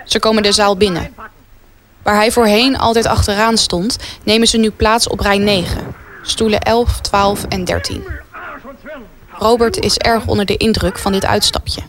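A woman's voice: medium pitch, fairly even intonation, normal speed, a bit bright. A woman reading scripted narration as the storyteller of a wartime radio play.

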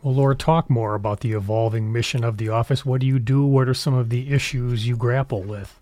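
A man's voice: medium pitch, steady tone, fairly quick, a little mellow, no echo. A man hosting an interview, asking questions in a friendly, conversational way. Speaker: interview host.

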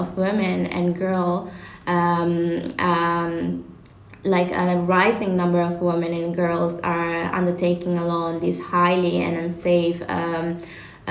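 A young woman with an Italian accent hosts a student discussion in a lowish, fairly even voice. She speaks haltingly, tripping over some words. The room is clearly echoey. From Italy. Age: young adult. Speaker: student host. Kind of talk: podcast discussion, semi-prepared presentation. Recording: echoey room with faint noise.

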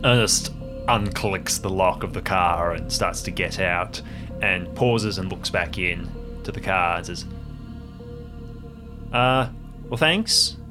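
A man playing a tabletop role-playing game, casually narrating his character's actions in a halting, improvised way. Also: low-pitched, steady tone, over music.

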